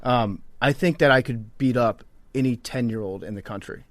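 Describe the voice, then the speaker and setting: A man's voice: mid-range voice, normal speed, dry recording. A man co-hosting a podcast in casual comic banter, boastful and stubborn in a mock-serious way, often swearing. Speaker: podcast co-host.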